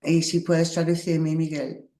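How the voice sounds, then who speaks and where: Slow, reflective woman, Spanish. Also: deep voice, little pitch movement, warm tone. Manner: slow, halting, reflective, with small laughs. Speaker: workshop teacher. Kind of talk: spiritual workshop talk. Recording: roomy echo.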